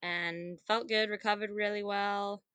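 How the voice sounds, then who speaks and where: A woman's voice: medium pitch, fairly even intonation, a little slow, sharp tone, clean tone, no room sound. A young woman from Zimbabwe, a guest runner, chatting casually and laughing often. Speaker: guest ultrarunner. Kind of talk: casual interview chat.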